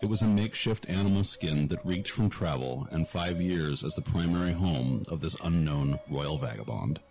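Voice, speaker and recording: A man's voice: low-pitched, normal speed, darkish tone, faint music behind. A man giving scripted narration for a fantasy audio drama.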